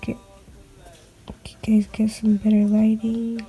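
A woman giving a casual, unscripted product review in a hesitant, halting, informal way, recorded on a handheld device in a bedroom. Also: on the high side, completely flat delivery, dark tone, slightly rough, poor audio. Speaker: sneaker reviewer. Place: bedroom at home. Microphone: handheld phone or camera. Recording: clicks and light background noise.

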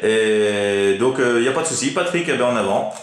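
A man's voice: mid-range voice, expressive, moderate pace, heavy background noise. Very echoey man chatting on CB radio. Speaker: amateur CB radio operator. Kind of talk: casual radio contact chat. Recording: very echoey radio microphone sound.

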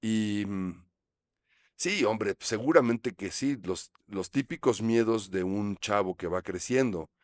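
Mexican male guest, 50s, low-pitched, relaxed and reflective anecdotes, close studio mic. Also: slow pace, no room sound. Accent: Mexican Spanish. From Mexico City. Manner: reflective, gestures while talking, frequent fillers. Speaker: actor guest. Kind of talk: relaxed storytelling interview. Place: small studio set. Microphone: studio mic on boom arm.

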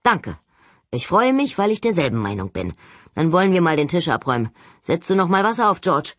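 A woman's voice: fairly low pitch, very animated, a little fast, no echo, studio quality. A woman voicing a confident young man who leads his team in an audiobook, cheerfully commanding and rhetorical. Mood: brisk, pleased. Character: confident, breezy young male agency leader rallying team.

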